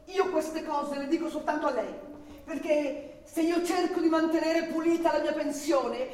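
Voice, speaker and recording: A woman's voice: high voice, fairly even intonation, moderate pace, a little mellow, a little hiss or hum, natural voice. An actress playing an anxious, deferential, gossiping boarding-house landlady, hesitant and solicitous, in Italian radio theatre style. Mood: pleading. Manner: hesitant, solicitous, stammering repetitions. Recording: roomy, distant from mic, music behind.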